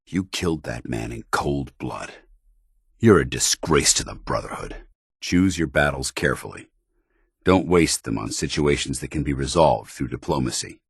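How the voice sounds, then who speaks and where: An American actor playing a stern, principled military paladin in a video game, with clipped, formal, authoritative diction. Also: male voice, extremely low pitch, breathy edge, no echo, studio quality, exaggerated voice. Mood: stern, indignant, then firm, measured. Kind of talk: video game companion dialogue.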